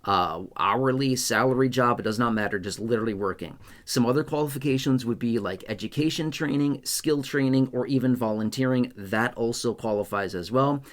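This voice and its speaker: A man of middle pitch talks straight to his viewers in a casual, reassuring way. He says the key points again and again and keeps checking in with a quick "okay?". It sounds like an informal explainer video from a channel host. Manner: reassuring, repeats himself, frequent "okay?" check-ins.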